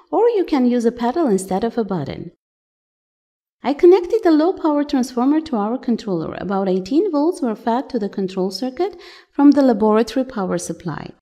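Woman narrating an electronics how-to, high voice that moves around a lot, mellow tone, slightly stiff wording. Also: expressive, normal speed. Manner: plain, slightly stilted non-native phrasing. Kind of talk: scripted technical voice-over.

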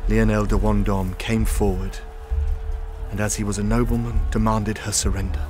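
An actor playing a loyal, grieving medieval soldier, recalling events in a sombre, measured way for an audio drama. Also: male voice, on the low side, level tone, a little mellow, soft background music, natural voice. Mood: sombre grief.

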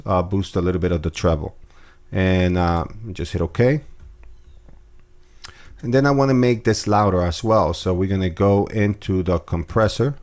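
A man calmly walking through audio editing steps in a screen-recorded tutorial, with casual fillers. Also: deep voice. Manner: relaxed step-by-step explaining with fillers. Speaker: music production tutor.

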